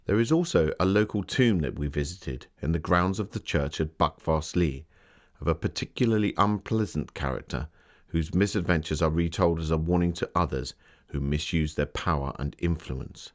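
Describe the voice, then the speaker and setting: Victorian gentleman author, low-pitched, theatrical scripted storytelling, formal and dramatic. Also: normal speed. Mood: genial, formal. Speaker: voice actor.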